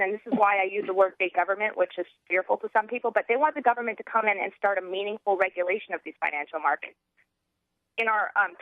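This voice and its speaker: A young American woman giving earnest political talk as an activist guest, halting and restarting as she goes. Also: medium pitch, no room sound. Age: young adult. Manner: rambling, halting with false starts. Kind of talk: earnest political talk, partly reading out a platform. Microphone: telephone. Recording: narrow tinny phone line.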